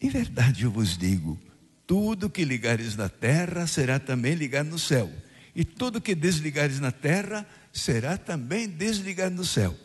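An older man from southern Brazil preaches at mass. His voice sits a little high and is husky, and he often lifts it in emphatic questions to the congregation. The sound carries the long reverb of a church, as picked up for a radio broadcast. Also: sing-song, normal speed. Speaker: priest.

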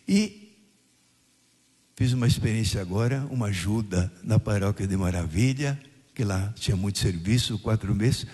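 An older Brazilian priest from southern Brazil, reading the gospel and preaching a homily at mass, with emphatic rising phrases and questions put to the congregation. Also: male voice, medium pitch, darkish tone, husky. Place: church. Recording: radio broadcast of a mass in a reverberant church.

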